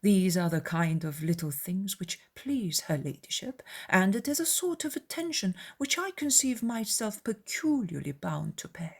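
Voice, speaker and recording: A man's voice: high voice, big pitch swings, normal speed, creaky, hardly any pauses, husky, full character voice. A woman voicing a pompous, obsequious, self-important young clergyman in an audiobook, with a wordy, formal manner. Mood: smug, fawning.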